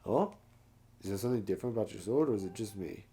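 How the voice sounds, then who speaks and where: A man voicing an excitable, chatty Goron researcher from a video game, full of exclamations and buddy talk. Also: lowish voice, quick pace. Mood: curious. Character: excitable, chummy Goron researcher of ancient ruins.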